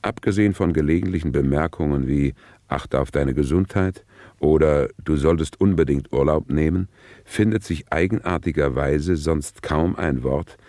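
A man's voice: very deep voice, normal speed, mellow, dry recording. A man playing a detached commentator in a radio play, narrating with dry precision. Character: detached male commentator cataloguing passengers and their dress. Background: music.

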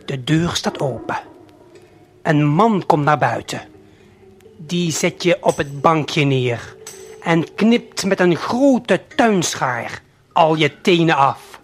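Slow-paced man telling a suspenseful story over music. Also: fairly high pitch, expressive. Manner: deliberate, suspenseful, addressing the listener directly. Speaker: narrator. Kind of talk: slow second-person storytelling for an audio play. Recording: late 1970s vinyl LP production. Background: prominent music.

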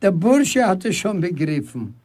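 A man playing a gruff but affectionate old grandfather in a German radio drama, commanding and teasing. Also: high voice, sing-song, unhurried, a little mellow, full character voice. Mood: brisk, commanding. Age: older. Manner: commanding, teasing, tender with his horse.

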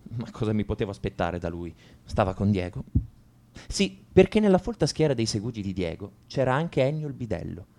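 Studente tormentato, voce morbida e scura. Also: male voice, on the low side, big pitch swings, a little fast, warm tone. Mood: wry, bitter. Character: brooding male high school student confessing to violence. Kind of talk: radio drama monologue, first-person narration.

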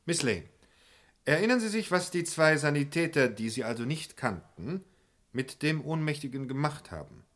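A man's voice: on the high side, sing-song, normal speed, fairly bright tone. A man playing a formal, insistent courtroom lawyer who questions precisely, in a German radio crime play. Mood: formal, probing.

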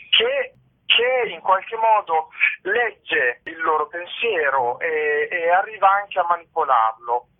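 A man being interviewed as a theatre-maker, explaining his work reflectively and pausing to search for words. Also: high-pitched, gravelly, slightly rough. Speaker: theatre company member, interview guest. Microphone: telephone. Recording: narrow tinny phone line.

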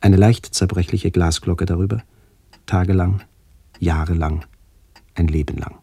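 A man playing a somber first-person narrator in a literary radio play, speaking in a measured, descriptive way. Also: deep voice, steady tone, mellow, breathy edge, no echo, full character voice. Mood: somber, contemplative. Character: somber male first-person narrator remembering his aged aunts.

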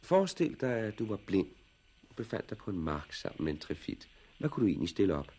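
An actor playing a brooding, cynical plant expert in a 1960s radio drama, deliberate and matter-of-fact about grim things. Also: male voice, on the low side, big pitch swings, warm tone, slightly rough. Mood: brooding, ominous.

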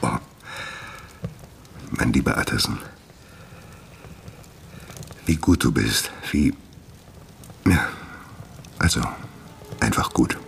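An actor playing a troubled, secretive gentleman scientist in a German radio play, urbane, condescending and evasive. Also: extremely low pitch, animated, slow pace, vocal fry, long pauses, a little hiss or hum, heavily acted. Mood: earnest, subdued.

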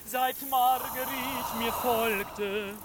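Very slow, high-voiced lyrical storyteller. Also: female voice, steady tone, heavy background noise. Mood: wistful, lyrical. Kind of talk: slow, theatrical radio play acting. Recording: loud outdoor ambience with animal sounds.

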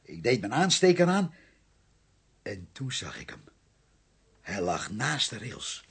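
Husky, stammering, frightened man. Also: lowish voice, very animated, heavily acted. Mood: frightened, haunted. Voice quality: husky, creaky. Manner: halting, stammering, repeating words. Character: confused, frightened male drifter confessing to a killing. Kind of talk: Dutch radio play acting.

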